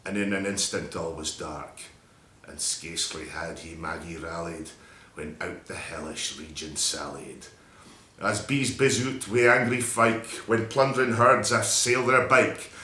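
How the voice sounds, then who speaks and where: A man's voice: on the low side, bright, forceful tone, roomy sound. A man with a Scottish accent giving a theatrical, emphatic recitation of a narrative poem in broad Scots dialect. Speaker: poetry reader.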